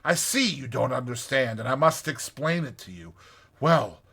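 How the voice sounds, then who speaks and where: A man's voice: medium pitch, lively intonation, normal speed, fairly bright tone, husky. A man voicing a kindly, opinionated badger in a dramatized storybook reading, with an emphatic, declaiming manner. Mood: explanatory. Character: hearty, kindly, opinionated badger, proud of his burrow.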